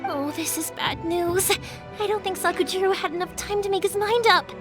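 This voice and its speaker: A woman's voice: extremely high pitch, normal speed, music in the background. An actress playing a kind, spirited young woman in a video game, protective and upbeat. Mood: alarmed. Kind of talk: English video game voice acting.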